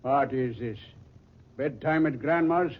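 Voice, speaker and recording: A man's voice: mid-range voice, slow pace. An actor playing a tough, suspicious street policeman, blunt, bossy and sarcastic, with slangy talk in old radio drama style. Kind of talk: 1940s radio drama acting. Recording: dull old radio recording.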